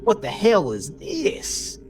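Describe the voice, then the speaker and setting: A man's voice: sits high, huge pitch swings, slow, music in the background, pitched above the performer's own voice, exaggerated voice. A man playing a panicked, bewildered voyeur who desperately demands answers in a horror audio drama. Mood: frantic, angry.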